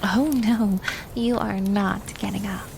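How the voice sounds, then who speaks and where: A woman's voice: mid-range voice, fairly even intonation, takes it slowly, vocal fry, darkish tone, a little hiss or hum. A woman playing a bold, affectionate, playful twin maid in an ASMR roleplay, casual and dramatic, often dropping into whispers. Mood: tender, caring.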